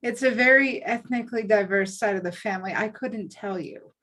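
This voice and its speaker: A woman's voice: medium pitch, a little fast, a bit bright. A woman chatting casually and conversationally in a group discussion. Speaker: table read participant. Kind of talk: casual chat.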